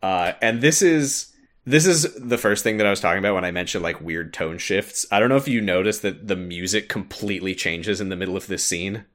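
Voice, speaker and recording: A man's voice: deep voice, brisk, a bit bright. A man hosting a podcast, casually recapping a video game in a wry, sweary way. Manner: wry, swears casually, acts out quoted lines. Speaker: podcast host.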